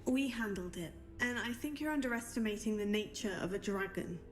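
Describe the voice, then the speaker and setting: A woman's voice: mid-range voice, lively intonation, fairly quick, soft background music, natural voice. A woman voicing an earnest teenage witch, a new student, polite and inquisitive, in a video game. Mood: earnest, curious. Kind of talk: video game character acting.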